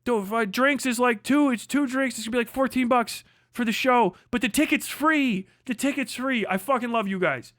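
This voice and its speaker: A man's voice: very high-pitched, normal speed, hardly any pauses, fairly bright tone, no echo, clean studio sound. A man giving casual, comedic livestream commentary, profane and emphatic, often repeating phrases for emphasis. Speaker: livestream host.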